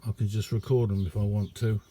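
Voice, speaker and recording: A middle-aged man, giving a casual, halting and unhurried hands-on demonstration as a radio hobbyist. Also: on the low side, flat delivery, dark tone. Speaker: radio hobbyist showing a homemade receiver. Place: indoor hobby radio room.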